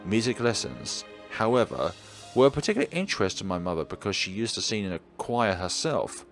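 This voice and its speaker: A man voicing a guarded young female singer who speaks in a formal, measured way. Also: on the low side, big pitch swings, soft background music. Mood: reserved.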